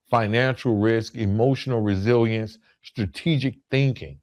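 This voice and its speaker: A man speaks as the host of a motivational podcast in a mid-range voice. His delivery is deliberate and emphatic, repeating phrases for weight. He keeps it conversational with small check-ins to the listener. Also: medium pitch. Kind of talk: motivational solo podcast monologue.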